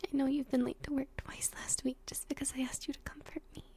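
A woman playing a tender, emotional young girlfriend in an intimate roleplay audio drama, speaking softly and breathily, often in whispers, with affection. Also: high voice, little pitch movement, fast talker, natural voice. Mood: hesitant, tender confession.

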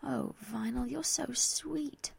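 A woman voicing a refined, emotional female pony musician in a soft, breathy, heartfelt manner. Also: high voice, animated, moderate pace, dry recording, voice pushed up. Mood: moved, awed. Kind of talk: fan fiction character voice.

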